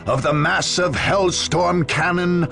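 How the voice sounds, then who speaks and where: A man's voice: sits high, steady tone, takes it slowly, vocal fry, fairly bright tone, exaggerated voice. A man playing a grave war chronicler, reading scripted video game narration in a solemn, theatrical manner. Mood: grave, portentous. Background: music.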